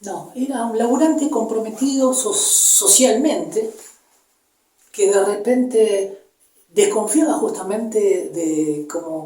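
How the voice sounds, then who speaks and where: Mellow-voiced Argentine man, echoey distant recording. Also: high voice. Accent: Argentine, Buenos Aires area. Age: 50s. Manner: halting, reflective, pauses to search for words. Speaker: interviewee giving personal testimony. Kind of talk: personal storytelling, unscripted recollection. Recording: echoey room, distant microphone.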